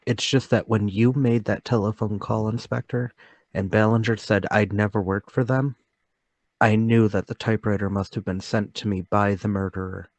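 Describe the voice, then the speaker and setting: A man portrays a troubled yet determined accused man in a mystery radio play. His voice sits fairly low and sounds dry and close, with earnest, deliberate phrasing. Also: steady tone, no echo. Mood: resolute. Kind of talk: radio play table read.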